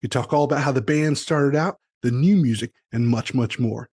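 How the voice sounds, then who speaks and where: An upbeat man works as a podcast host, moving between show introductions and casual interview talk. His voice sits at a middling pitch, and his delivery is promotional and friendly in wording. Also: moderate pace, darkish tone, husky.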